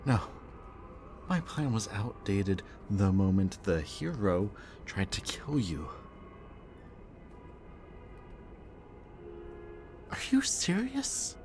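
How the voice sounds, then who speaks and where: A man acting a brooding villain kidnapper in an audio roleplay, mockingly polite and weary, speaking in a deliberate, theatrical way. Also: lowish voice, sing-song, darkish tone, soft background music. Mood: tense, weary.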